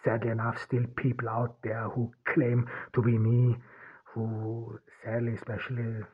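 A man whose first language is German speaks in a flat, husky voice, stopping and restarting his sentences over and over. His level tone barely rises or falls as he searches for words. The sound is thin and tinny, like a phone line. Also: mid-range voice. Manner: halting, many restarts and repeated words. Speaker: podcast host. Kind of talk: solo podcast monologue.

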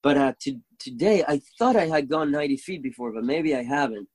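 Excitable Venezuelan-accented man, dry sound. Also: mid-range voice, a little fast, breathy edge, no echo. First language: Spanish. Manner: excitable storyteller, swears freely. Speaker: guest. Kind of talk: casual chat.

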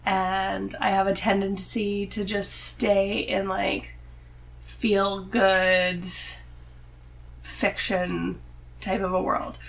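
A woman's voice: mid-range voice, completely flat delivery, extremely slow, gravelly, rough recording. A woman talking casually as a guest on a podcast. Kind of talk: casual chat.

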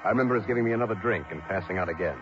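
An actor playing a wry, world-weary insurance investigator in a mid-century radio drama, shifting between dialogue and first-person narration. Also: male voice, low-pitched, rapid-fire, natural voice. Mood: recounting, weary. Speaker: lead actor. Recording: muffled old radio broadcast, faint music behind.

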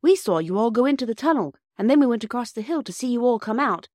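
A woman's voice: a little high, lively intonation, fast talker, darkish tone, dry recording, studio quality, pitched above the performer's own voice, close to an everyday voice. A woman voicing a proud, bossy young boy in a storybook, firm and declarative.

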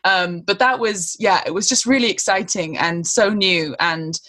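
A young woman in a casual conversation, chatty and quick to laugh, asking the questions. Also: lowish voice, fairly bright tone.

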